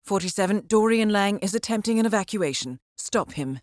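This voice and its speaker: A woman playing a composed, calculating intelligence handler in a video game, giving measured, precise mission briefings with dry, understated wit. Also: medium pitch, expressive, no echo, lightly acted. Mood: urgent.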